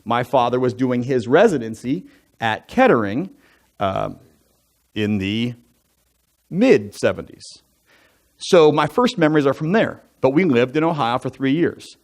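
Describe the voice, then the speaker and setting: A man in his forties, an elder from the United States, telling his life story to a church congregation in a relaxed, conversational way, full of asides and jokey fun facts. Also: medium pitch, measured pace, pauses often. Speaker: church elder giving a testimony. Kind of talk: personal testimony talk from the pulpit. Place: church sanctuary.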